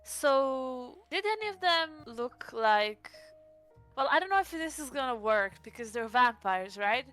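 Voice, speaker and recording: Inquisitive woman with a clear, higher voice. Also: animated, measured pace, fairly bright tone, faint music behind. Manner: tentative, inquisitive. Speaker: player in tabletop roleplaying game. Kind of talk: casual in-game discussion and questions.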